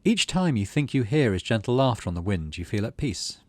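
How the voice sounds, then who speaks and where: Low-pitched man, very animated reader. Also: fairly quick, no echo. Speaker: host of a gaming channel, reading game text aloud. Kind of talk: expressive narration of game text with casual asides.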